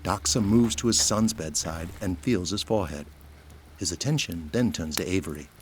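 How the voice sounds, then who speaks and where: A man's voice: low-pitched, moderate pace, slight background noise. A man reading scripted dramatic narration for an audio drama.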